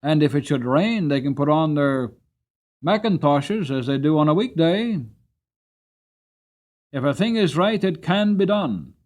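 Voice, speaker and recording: A man performs an upright male cab driver from a storybook. He speaks with firm, kindly conviction and drives each moral point home. His pitch rises and swings with the emphasis. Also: lively intonation, a little slow, long pauses, a little mellow. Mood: firm, preaching. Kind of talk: dramatic storybook character reading.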